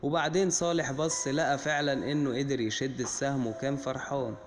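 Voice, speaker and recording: A man with an Egyptian Arabic accent retelling a cartoon story for children, in a plain, steady way that chains one event to the next. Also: mid-range voice, quick pace, a little hiss or hum. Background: music.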